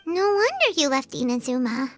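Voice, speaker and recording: A woman voicing a tiny, perky, childlike fairy companion in a video game, with a squeaky, chirpy, eager delivery. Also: sing-song, normal speed. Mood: curious, impressed. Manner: chirpy, eager, speaks of herself in third person. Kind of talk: cartoonish video game acting. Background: background music.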